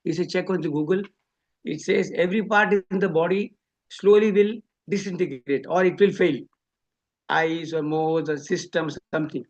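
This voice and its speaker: A man's voice: a little high, steady tone, normal speed. A man from India with an Indian accent gives a memorial tribute, telling personal anecdotes and acting out both sides of conversations, on a lo-fi recording. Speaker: devotee giving a memorial tribute. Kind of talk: spoken eulogy with personal anecdotes. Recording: dull lo-fi speech recording.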